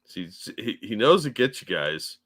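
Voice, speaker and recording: Low-voiced laid-back man co-hosting. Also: sing-song, normal speed, a bit bright. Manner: laid-back, swears casually, reads chat comments aloud. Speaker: co-host. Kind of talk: casual political commentary chat.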